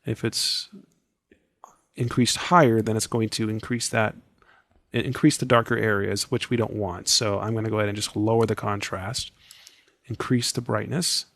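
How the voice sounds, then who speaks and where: A man guides viewers through an editing tutorial one click at a time, relaxed and chatty. His voice sounds scratchy and worn, as if he is losing it. It is recorded close and dry, with no room sound. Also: medium pitch, dry recording. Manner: step-by-step instructive, relaxed and chatty. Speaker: software tutorial instructor. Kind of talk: screen-recorded software tutorial.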